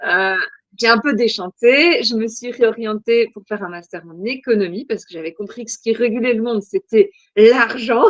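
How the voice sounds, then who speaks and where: A woman's voice: on the high side, normal speed, no room sound, dull audio. A woman in her forties from Belgium, a podcast guest answering questions in a thoughtful, hesitant way with many fillers. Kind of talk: casual interview answers.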